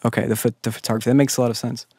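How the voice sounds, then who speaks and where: A man's voice: medium pitch, little pitch movement, very fast, warm tone, slightly rough, no room sound, clean studio sound. A man hosting an interview, asking earnest and enthusiastic questions in casual chat. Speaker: podcast host.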